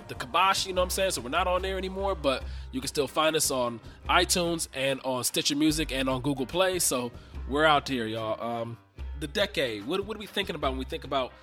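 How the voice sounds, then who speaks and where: A man's voice: a little high, pushed and bright. A man hosting a podcast in a casual, slangy monologue, a little nasal and congested from a cold. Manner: laid-back, slangy, chatty. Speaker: podcast host. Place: home recording booth.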